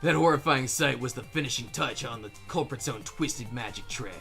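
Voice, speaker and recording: Creaky bright-voiced man reading dramatically. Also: medium pitch, moderate pace, faint music behind. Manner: jokey, stumbles over lines and breaks off into banter. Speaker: fandub performer reading the game's case summary. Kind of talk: dramatic scripted reading broken by casual banter.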